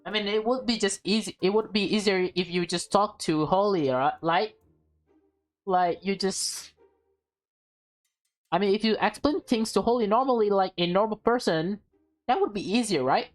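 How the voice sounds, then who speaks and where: A woman's voice: mid-range voice, normal speed, faint music behind. A woman doing casual playthrough commentary and reading aloud from a visual novel.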